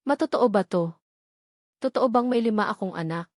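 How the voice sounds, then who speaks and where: A woman's voice: medium pitch, normal speed, dry recording, close to an everyday voice. A woman narrator plays a young policewoman mother in an audio love story. She is wounded and accusing, pressing her questions with raw emotion in a dry, close recording. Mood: pleading, shaken. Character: distraught young policewoman mother, hurt and angry.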